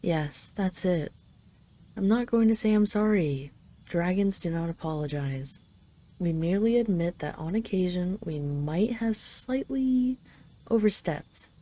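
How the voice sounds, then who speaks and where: A woman's voice: on the low side, animated, measured pace, mellow. A woman voicing a haughty dragon queen in an intimate roleplay drama, imperious and teasing, possessively fond of her captive. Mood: fond, domineering. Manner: imperious, teasing, intimate, slightly flustered when admitting affection. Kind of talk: roleplay audio drama addressed to the listener.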